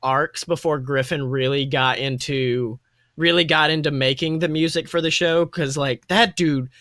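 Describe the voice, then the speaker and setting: A young man with a fairly high voice co-hosts a casual podcast. He gushes and rambles, often breaking off mid-thought to start again. The sound is close and dry, with little room to it. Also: fairly even intonation, moderate pace, fairly bright tone, dry recording. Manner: gushing, rambling, self-interrupting. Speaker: podcast co-host. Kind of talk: casual enthusiastic chat.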